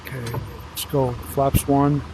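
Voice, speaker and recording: A man thinking aloud haltingly as he plays a pilot in a flight simulator, mixing commentary with radio calls. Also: medium pitch, level tone, warm tone, a little hiss or hum, low-quality recording.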